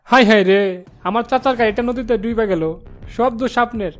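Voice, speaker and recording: A man's voice: high-pitched, normal speed, close to an everyday voice. A man voicing a foolish, cheeky kidnapper henchman in a cartoon, complaining and talking back in colloquial Bangladeshi Bengali. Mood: aggrieved whining. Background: music.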